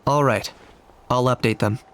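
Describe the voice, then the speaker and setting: A man voicing a calm, helpful young tribesman in a video game, matter-of-fact. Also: mid-range voice, measured pace, slight background noise, natural voice.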